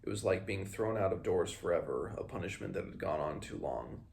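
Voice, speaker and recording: A man hosting a read-along, reading a fantasy novel aloud and adding informal recap, measured in the reading and hesitant in his comments. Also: deep voice, animated, gravelly, darkish tone. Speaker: book read-along host.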